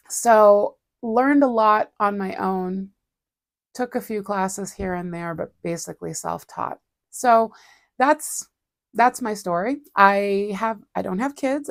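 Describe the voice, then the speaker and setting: A woman, chatting casually and rambling as she hosts a relaxed art livestream, laughing often and poking fun at herself. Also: mid-range voice, gravelly, no room sound. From Boston area, USA. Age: 40s. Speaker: livestream host and artist. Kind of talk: casual chat. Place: home studio.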